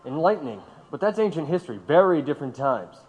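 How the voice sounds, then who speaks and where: A hard-nosed male government interrogator in a radio play. He speaks fast in a high voice, pressing and dismissive with every question. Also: very animated, darkish tone, long reverb tail, soft background music, low-quality recording. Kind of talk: radio drama acting.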